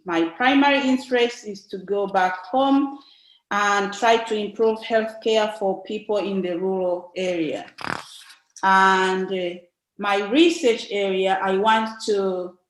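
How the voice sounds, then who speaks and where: Female presenter, Tanzanian accent, mid-pitched voice, unhurried and halting informative delivery with frequent pauses. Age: young adult. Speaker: invited speaker, nun. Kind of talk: informative slide presentation talk. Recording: echoey, roomy sound.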